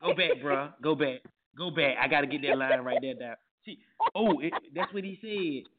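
A man hosting a morning radio show, joking and teasing his co-hosts loudly. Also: on the high side, moderate pace, slight background noise. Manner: loud, teasing, trash-talking. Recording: tinny phone-like sound.

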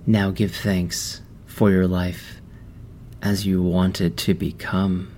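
A man guiding a meditation in a slow, soft, soothing voice with long pauses. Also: lowish voice. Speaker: meditation guide. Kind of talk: guided meditation. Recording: music underneath.

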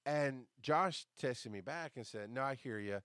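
Deep-voiced man telling a story, dry sound. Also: measured pace, clean tone, no echo. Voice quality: deep, bass-heavy voice. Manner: animated, profane, acts out quoted lines. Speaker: podcast host. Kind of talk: casual storytelling monologue.

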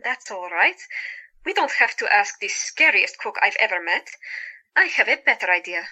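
A woman's voice: medium pitch, lively intonation, moderate pace, pushed and bright, breathy edge, full character voice, poor audio. An actress playing a joyous, caring woman doctor in a period audio drama, cheerful and coaxing. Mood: playful, eager.

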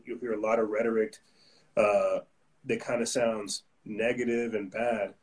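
A man's voice: mid-range voice, distant mic, poor audio. A man hosting a casual interview, talking in a rambling, upbeat way and often restarting his sentences. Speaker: host.

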